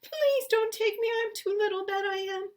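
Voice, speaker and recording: Woman as a tiny, squeaky-high young billy goat. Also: normal speed. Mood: timid pleading. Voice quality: very small, thin voice. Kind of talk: children's storybook character voice.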